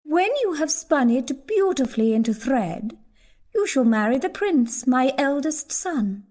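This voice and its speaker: A regal storybook queen, acted out by a man, gracious yet commanding. The voice is high and mellow, and each line comes slowly and deliberately. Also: lively intonation, heavily acted.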